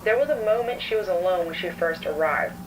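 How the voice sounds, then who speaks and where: A woman's voice: fairly low pitch, expressive, fairly quick, close to an everyday voice. A woman playing a composed, probing child therapist who records session notes in a scripted horror story. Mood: composed, uneasy. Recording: distant playback with clear background noise.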